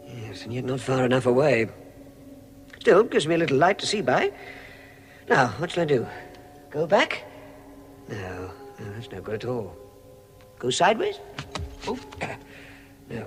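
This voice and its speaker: An actor playing a timid, fussy hobbit in a radio drama, with a hesitant, fretful way of talking. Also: male voice, medium pitch, very animated, moderate pace, pauses often, soft background music, lightly acted. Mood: anxious, wondering. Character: timid, fussy, flustered hobbit recounting his adventure. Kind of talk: radio drama acting and first-person narration.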